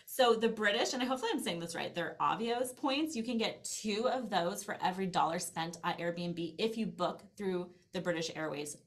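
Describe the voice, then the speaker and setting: Chatty woman telling anecdotes in an interview. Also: lowish voice. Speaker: podcast guest, language-learning business owner. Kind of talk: casual interview conversation, anecdotal.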